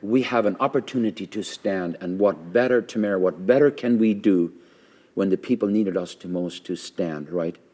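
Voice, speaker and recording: A middle-aged man, a pastor, talking with a guest in an earnest, fervent way, with a naturally loud voice, repeating phrases for emphasis. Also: deep voice, normal speed. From Canada. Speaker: pastor hosting a conversation. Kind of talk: earnest conversational interview.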